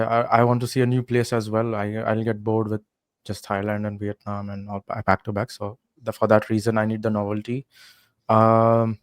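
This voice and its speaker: A young man from India, a podcast guest, telling travel stories in relaxed casual chat with many fillers. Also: lowish voice, smooth, dry recording.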